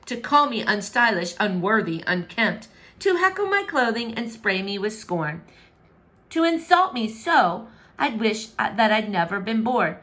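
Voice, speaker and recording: A woman's voice: medium pitch, very animated, measured pace, fairly bright tone. A woman voicing a proud, wounded boy prince in a children's verse storybook, with a theatrical, grumbling manner. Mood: wary.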